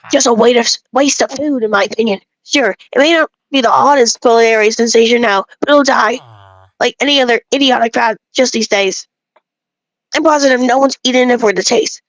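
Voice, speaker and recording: A woman's voice: fairly high pitch, dry recording, poor audio. A woman reading aloud as an opinionated, folksy male bread enthusiast who rambles and grumbles through game dialogue.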